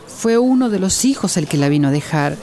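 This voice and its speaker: An actress playing a troubled, defensive woman doctor in a radio drama, with a halting way of talking. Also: fairly low pitch, expressive, dark tone, soft background music. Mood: troubled, hesitant. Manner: halting, hesitant, repeats words.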